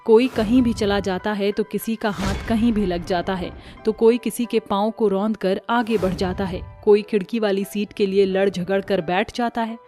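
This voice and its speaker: A woman's voice: mid-range voice, fairly even intonation, moderate pace, hardly any pauses, warm tone, soft background music. A woman narrating a scripted cartoon story as its storyteller.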